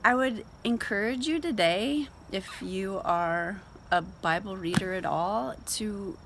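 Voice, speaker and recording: A woman's voice: medium pitch, slow pace, noisy background. A woman giving an informal devotional talk in a conversational, emphatic way, as host of a Bible reading show. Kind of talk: casual devotional talk with scripture read aloud.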